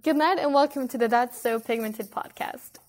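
A woman's voice: fairly high pitch, moderate pace. A woman in her twenties from Spain, hosting a casual podcast chat with friends, loose and laughing with many fillers. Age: 20s. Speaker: podcast host.

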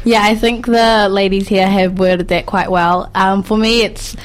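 Young New Zealand woman, casual and quick. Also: mid-range voice, fairly even intonation. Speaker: podcast guest, pharmacy student. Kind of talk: casual conversation.

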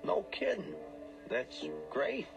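Sardonic, wisecracking bartender, music behind. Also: male voice, sits high, big pitch swings, takes it slowly, vocal fry, heavily acted. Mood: needling, friendly. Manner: sardonic, plainspoken. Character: gruff wisecracking bartender, needling but friendly. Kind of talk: radio drama acting.

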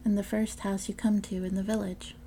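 A woman's voice: medium pitch, flat delivery, fairly quick, dark tone, a little hiss or hum, close to an everyday voice, rough recording. A woman voicing an innocent, chatty little girl from a fairy tale. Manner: naive, wondering.

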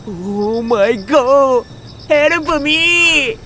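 Woman as an excitable, high-pitched scatterbrained boy. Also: takes it slowly, slight background noise, heavily acted. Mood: frantic. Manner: exclamatory, rambling comic complaints. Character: excitable, scatterbrained young boy, loudly self-pitying. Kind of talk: audio drama acting with first-person narration.

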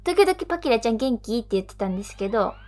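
A woman's voice: on the high side, big pitch swings, racing, darkish tone, soft background music. A woman co-hosting a radio show in casual chat, chiming in often and telling her own light anecdote. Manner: attentive, nods along with frequent short replies, then tells her own anecdote. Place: radio studio.

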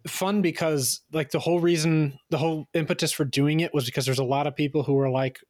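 A man with a fairly high voice talks as a podcast guest in a very dry recording. He explains technical matters casually, in rambling stories. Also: steady tone, fairly quick, a little mellow, dry recording. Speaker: podcast guest, firearm designer.

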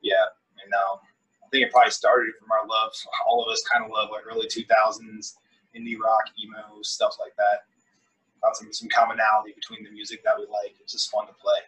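Relaxed male guitarist from Virginia, low flat voice, bright, slightly off-mic. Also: a little fast, far from the mic, low-quality recording. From southeast Virginia, USA. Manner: relaxed, rambling. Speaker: band guitarist and singer. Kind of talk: casual interview answers.

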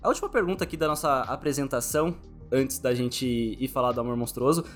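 A man's voice: mid-range voice, fast talker, faint music behind. A Brazilian man speaking as a podcast host in a casual, conversational interview style.

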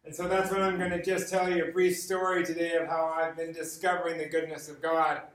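An echoey recording, picked up at some distance, of an American man preaching. His voice sits on the higher side for a man. He speaks informally, like someone sharing personal stories, and repeats words for emphasis. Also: level tone, brisk. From Los Angeles, United States. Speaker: guest preacher. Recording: roomy echo, distant from the mic.

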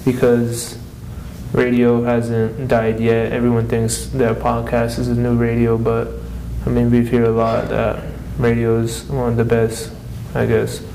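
Male co-host for casual podcast chat, soft dark timbre, informal, faint noise in the background. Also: medium pitch, monotone, measured pace, gravelly, reverberant, rough recording.

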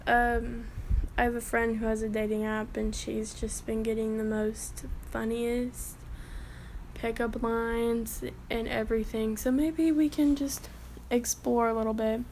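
A woman's voice: fairly high pitch, little pitch movement, a little slow, darkish tone, slight background noise, low-quality recording. A woman in her late teens giving casual, rambling commentary as a vlogger, with the odd whispered aside.

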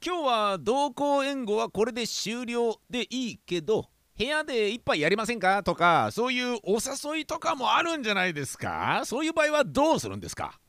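A man's voice: very high-pitched, very animated, moderate pace, few pauses, a bit bright, no room sound, clean studio sound, heavily acted. A man playing a lecherous, over-familiar police detective in an audio drama, with a smarmy, theatrical way of talking. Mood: pushy, familiar.

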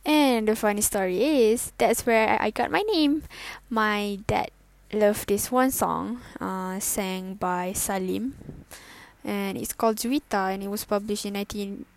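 A twenty-year-old woman, introducing her first podcast in a halting, self-conscious way, full of fillers and giggles. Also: mid-range voice. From Malaysia. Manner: hesitant, halting, with many fillers and self-corrections. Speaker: student podcaster. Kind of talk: casual solo podcast intro.

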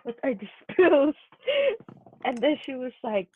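Young woman, early twenties, podcast co-host; bright, lively delivery, phone-quality audio. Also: sits high, unhurried, husky. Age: 20s. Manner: blunt, joking, laughs often. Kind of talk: casual chat. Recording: tinny phone-like sound, dry.

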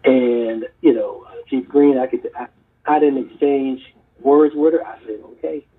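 A man's voice: mid-range voice, fairly even intonation, measured pace, husky. A middle-aged man from South Carolina, a retired police chief telling his story in an earnest, rambling way as a podcast guest. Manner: earnest, storytelling, many fillers and restarts. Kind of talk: casual podcast interview. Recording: tinny phone-line audio.

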